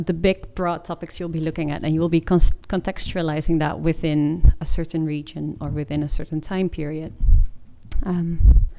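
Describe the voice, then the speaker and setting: Mellow, lowish-voiced young woman presenting. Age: 20s. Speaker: master's student presenter. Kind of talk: informative presentation to an audience.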